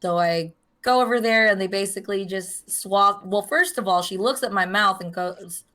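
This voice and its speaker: A young woman with a hoarse voice, co-hosting a podcast in casual chat, telling a long story and acting out the voices of the people in it. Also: mid-range voice, moderate pace, pushed and bright. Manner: chatty storyteller, acts out quoted voices. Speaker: podcast co-host.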